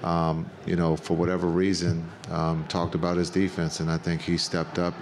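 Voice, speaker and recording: A middle-aged man, a head coach answering reporters' questions at a postgame press conference, speaking in a measured, plain way with many fillers, into a table microphone. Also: low voice, monotone, slight background noise. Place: press conference room with backdrop.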